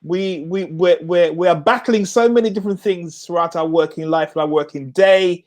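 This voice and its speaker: A middle-aged man who grew up in Jamaica hosts an easygoing chat show. His voice sits high for a man, and he trips over his first words before landing on emphatic, repeated catchphrases. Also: normal speed. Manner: stammering repeats, laughs often, emphatic slogans. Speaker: host. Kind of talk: casual chat.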